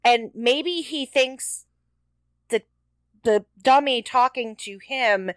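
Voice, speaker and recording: A woman's voice: a little high, slow pace, dry recording. A woman co-hosting a podcast, talking in casual, conversational discussion. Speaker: podcast co-host. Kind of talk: casual chat, TV episode discussion.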